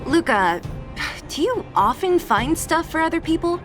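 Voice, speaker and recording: Wry, animated young woman companion. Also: very high voice, unhurried, over music, full character voice. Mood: wry, matter-of-fact. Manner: teasing, questioning. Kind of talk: scripted game voice acting.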